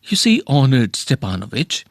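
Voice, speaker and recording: A man's voice: medium pitch, wildly swooping pitch, moderate pace, vocal fry, dry recording, clean studio sound, full character voice. A man voicing a nervous, stammering young suitor who keeps stumbling over his words, reading a play aloud. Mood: flustered. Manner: stammering, flustered.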